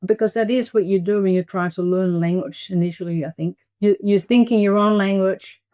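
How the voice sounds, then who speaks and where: A woman's voice: mid-range voice, fairly quick, mellow, no room sound. An older woman who speaks English as a second language, talking conversationally as an interview guest. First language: not English (English is second language). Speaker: interview guest, emerita biology professor.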